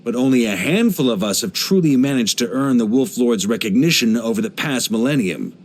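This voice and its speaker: An actor playing a genial, laid-back middle-aged knight commander in a video game, with a theatrical, easygoing manner. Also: male voice, mid-range voice, expressive, a little fast, darkish tone. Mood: boastful, warm. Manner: jovial, laid-back, theatrical, given to chuckles. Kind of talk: English video game character voice lines.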